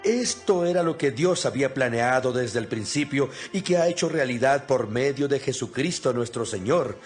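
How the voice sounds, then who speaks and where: A man's voice: medium pitch, expressive, normal speed, natural voice. A man playing an imprisoned apostle in a dramatized scripture reading, speaking solemnly and earnestly with emphasis. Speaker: Bible narrator. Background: music.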